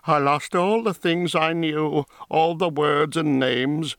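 A man's voice: fairly bright tone, clean tone, no echo. A man putting on a haunted old woman's voice. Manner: slow, strained, rising high pitch. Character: haunted old woman dyer, broken, fierce. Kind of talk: audiobook character voice.

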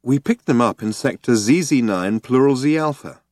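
A man voicing a clever, patient and slightly weary woman in an audiobook, quiet and matter-of-fact. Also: medium pitch, lively intonation, moderate pace, dry recording, clean studio sound, close to an everyday voice. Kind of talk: audiobook character voice.

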